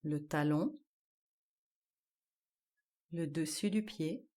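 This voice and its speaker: A woman guiding a yoga nidra relaxation, giving calm, measured instructions in short, spaced-out phrases. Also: low voice. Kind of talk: guided relaxation meditation.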